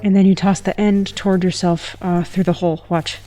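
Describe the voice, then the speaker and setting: A woman playing a reserved, awkward enthusiast in an audio drama, deadpan and earnest in her delivery. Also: fairly low pitch, fairly quick, close to an everyday voice. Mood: quietly earnest.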